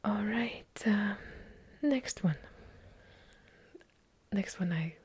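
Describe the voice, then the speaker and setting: Brief: woman, Eastern European accent, ASMR whisperer; low mellow whisper, unhurried delivery. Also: breathy edge. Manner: soft unvoiced whispering, unhurried, chatty with small self-corrections. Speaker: ASMR creator answering viewer questions.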